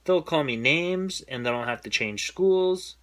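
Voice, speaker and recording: A man's voice: on the high side, animated, measured pace, fairly bright tone, voice pushed up. A man reading a storybook, voicing an anxious, embarrassed schoolboy who exclaims and pleads.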